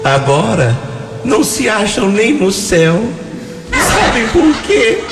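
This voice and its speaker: A devilish, mocking radio satirist. The voice is high and wildly animated, stretching phrases very slowly in theatrical style. It echoes over loud music in an old radio show manner. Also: male voice, full character voice. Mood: mocking, gleeful. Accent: Brazilian Portuguese.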